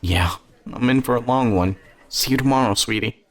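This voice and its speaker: A man's voice: medium pitch, moderate pace, fairly bright tone, pitched above the performer's own voice, lightly acted. A man voicing a kindly, affectionate older small-town woman who works at a hospital, in a light, motherly, fussing manner for an audio story. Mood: warm, fussing.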